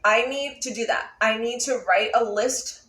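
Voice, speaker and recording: A woman's voice: on the high side, fairly even intonation, normal speed, fairly bright tone. A young American woman from Buffalo, a vlogger chatting casually and explaining things at length. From Buffalo, USA. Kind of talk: casual chat. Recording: echoey room, mic at a distance, faint noise.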